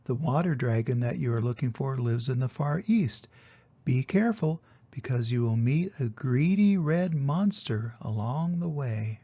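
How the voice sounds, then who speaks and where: A giant snake from a children's tale, acted out by an older man, offers bargains and solemn advice. The voice is mellow and gravelly. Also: mid-range voice, expressive, measured pace, lo-fi sound. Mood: advising. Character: giant talking snake, bargaining, advising guide.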